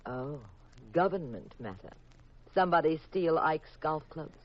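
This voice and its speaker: An actress playing a playful, sharp-witted detective's wife in a 1950s radio drama, breezy and teasing on a vintage recording. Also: female voice, sits low, animated, unhurried, no room sound, highs cut off. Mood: teasing, wifely.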